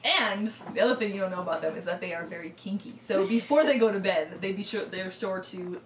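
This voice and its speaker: A woman co-hosting a video channel, telling a playful, improvised story in casual chat with a halting, silly manner. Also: medium pitch. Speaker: co-host of a YouTube channel. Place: indoors, in a room set up for filming. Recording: roomy, distant mic, faint noise.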